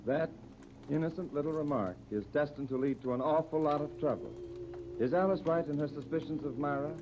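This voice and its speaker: 1930s American radio announcer, muffled sound. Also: male voice, fairly high pitch, fairly quick. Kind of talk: 1930s scripted narration and sponsor pitch. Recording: muffled, noisy 1939 radio transcription.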